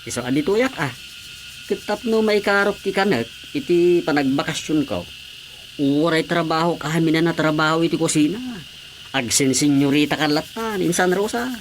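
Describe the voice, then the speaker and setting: A man's voice: fairly high pitch, moderate pace, a little hiss or hum, close to an everyday voice. A man acting as a cheeky, eager, teasing visiting cousin in a comedy radio drama.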